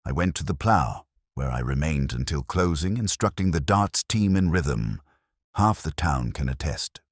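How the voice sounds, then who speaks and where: A man voicing a belligerent, pompous church organist in an audiobook mystery, sharp and disdainful in manner. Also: rumbling low, animated, unhurried, breathy edge, dry recording, clean studio sound, heavily acted. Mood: belligerent, indignant. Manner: sharp, snapping, disdainful, theatrical.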